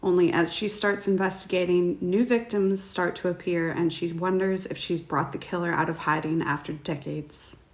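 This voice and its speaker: A woman's voice: lowish voice, fairly even intonation, normal speed, few pauses. A woman speaking as an author, giving a prepared, explanatory talk to viewers. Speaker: author presenting a trivia question.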